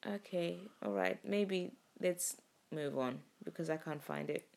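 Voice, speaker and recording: A woman's voice: on the low side, measured pace, creaky, darkish tone. A young woman co-hosting a casual, tipsy podcast chat, rambling, swearing and laughing often, whose first language is not English. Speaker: podcast co-host. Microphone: home recording, lying down.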